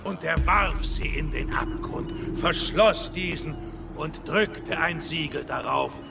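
Gravelly, creaking doom prophet. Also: male voice, fairly high pitch, heavily acted. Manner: measured, portentous. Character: slow, ominous male reciter of apocalyptic scripture. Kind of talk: solemn scripture-like recitation in a horror radio play. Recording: music bed under the voice.

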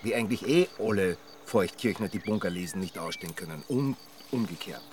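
An actor playing a cagey, gossipy village chronicler in a radio drama, with an Austrian accent and a cautious, confiding manner. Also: male voice, sits low, lively intonation, a little fast, distant mic, noisy background.

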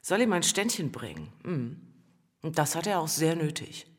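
Teasing streetwise flirt in a radio play, husky n lively, big hall reverb. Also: female voice, sits low, normal speed. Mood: mocking, gossipy. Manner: teasing, gossipy. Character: flirtatious, mocking, streetwise woman after a ring. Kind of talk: theatrical German radio drama acting. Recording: long reverberant hall with music behind.

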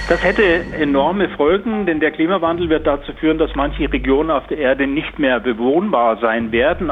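A man speaking as an academic expert, explaining in an earnest, deliberate way. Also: medium pitch. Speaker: expert, professor. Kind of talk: explanatory interview statement. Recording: tinny, narrow phone-like band.

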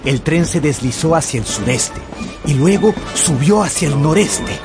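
Male narrator for radio drama: theatrical, lively intonation, scripted storytelling over a clear music bed. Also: a little high, normal speed. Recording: music under the voice.

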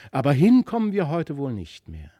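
A man reading a fairy tale, voicing a gentle, wistful otherworldly child who speaks tenderly and earnestly. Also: on the high side, huge pitch swings, normal speed, husky, dry recording, studio quality, full character voice. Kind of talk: acted dialogue in a literary audiobook reading.

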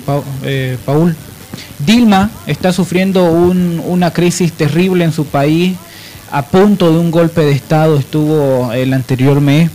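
A man hosting an informal political radio discussion, halting as he searches for words. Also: on the high side, dark tone, poor audio. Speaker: radio show host.

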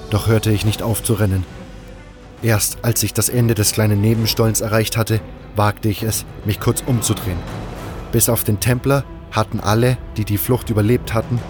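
Low-pitched male audiobook narrator with music. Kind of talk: scripted first-person fantasy audio drama narration.

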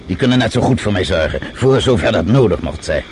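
A man's voice: fairly low pitch, lively intonation, quick pace, a little mellow, slight background noise, natural voice. An actor playing a shrewd, stubborn middle-aged man with a dry, authoritative, sardonic manner in a radio play. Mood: indignant, stubborn. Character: shrewd, self-assured father-in-law, dry and stubborn.

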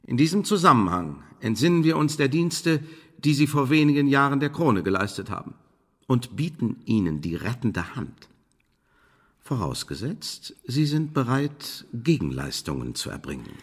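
An actor playing a calculating intelligence official in a radio play, speaking in a formal, measured, officious way with quiet menace. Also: male voice, medium pitch, big pitch swings, breathy edge. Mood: coolly menacing.

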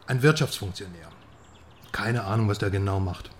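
An actor playing a cocky Western journalist in a radio drama, teasing and sarcastic, swinging between loud and hushed. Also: male voice, fairly low pitch, normal speed, slight background noise, lightly acted. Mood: mocking.

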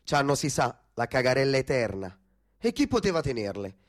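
An actor playing a sardonic working-class Roman storyteller, narrating theatrically with a Roman accent. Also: male voice, mid-range voice, sing-song, moderate pace, close to an everyday voice. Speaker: stage actor-narrator in a radio theatre piece.

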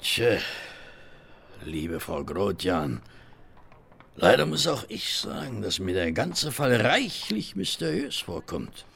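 An actor playing a stolid, ponderous police inspector in a radio play, speaking with deliberate weight. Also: male voice, fairly low pitch, very animated, vocal fry, fairly bright tone, husky, exaggerated voice. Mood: grave, doubtful.

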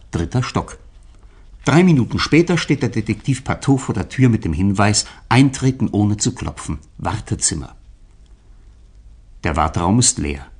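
Radio play narrator, lowish husky voice, deliberate but animated storytelling. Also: male voice. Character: observant male narrator of a detective story. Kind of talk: scripted radio drama narration.

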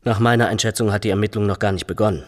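An actor playing an ambitious, critical police officer in a radio drama, assertive and pointedly challenging. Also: male voice, fairly low pitch, fairly even intonation, racing, close to an everyday voice. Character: ambitious, critical, smug male police colleague. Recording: long reverberant room.

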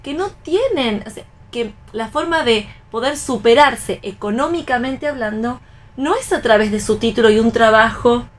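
A woman's voice: fairly high pitch, normal speed. An Argentine woman talking casually as a panelist in a radio round-table discussion.

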